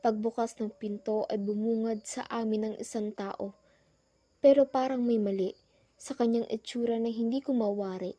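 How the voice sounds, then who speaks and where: A woman's voice: on the high side, fairly even intonation, normal speed, dark tone, natural voice. A young woman playing the narrator who recounts a frightening island trip in a scripted radio drama. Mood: uneasy storytelling. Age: young adult.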